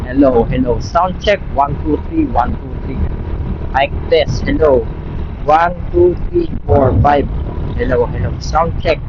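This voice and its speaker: A man's voice: on the high side, takes it slowly. A man with a Filipino accent giving a casual vlog-style product demo, speaking informally into a helmet intercom mic. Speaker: motovlogger and product reviewer. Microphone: Bluetooth intercom inside motorcycle helmet. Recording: dull helmet intercom mic, loud noise.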